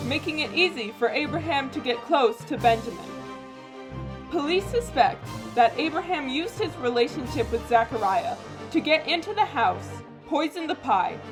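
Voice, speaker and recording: A woman reading scripted true crime narration in a matter-of-fact storytelling way. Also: high voice. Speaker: narrator and host of a mock true crime series. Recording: music behind the voice. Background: background music.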